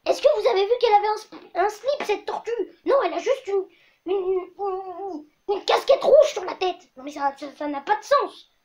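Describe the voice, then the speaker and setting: A woman with a high-pitched voice hosts a comic web show. She rants to camera in slangy, crude and exaggerated terms. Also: lively intonation, normal speed, a little mellow. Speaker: host of a comedy web show.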